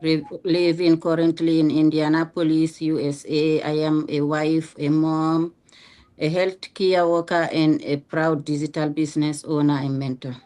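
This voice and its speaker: A woman from Sierra Leone introducing herself on a panel in a steady, listing way. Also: low voice, measured pace.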